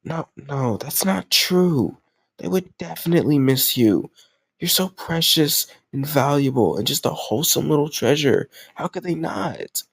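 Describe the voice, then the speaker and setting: A man's voice: fairly high pitch, animated, measured pace, vocal fry, husky, dry recording, full character voice. A man playing a lovesick, possessive yet awkwardly doting kidnapper in an intimate roleplay audio drama, talking straight to the listener with rambling reassurance. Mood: earnest, comforting.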